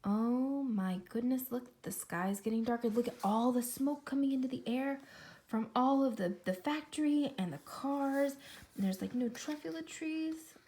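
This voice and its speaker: Higher-pitched woman reading to preschoolers. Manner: expressive, pauses to comment and ask questions. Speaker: preschool teacher. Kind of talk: read-aloud story time for young children.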